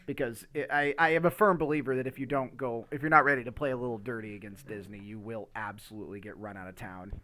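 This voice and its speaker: A man's voice: mid-range voice, steady tone, fast, hardly any pauses, bright, forceful tone. A man co-hosting a podcast in casual, rambling chat, emphatic and swearing freely as he gives his opinions. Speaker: podcast co-host.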